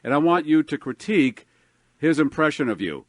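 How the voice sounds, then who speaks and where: A man hosting a talk radio show, bantering with a joking manner and quick asides. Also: fairly high pitch, expressive, dry recording. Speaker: radio host.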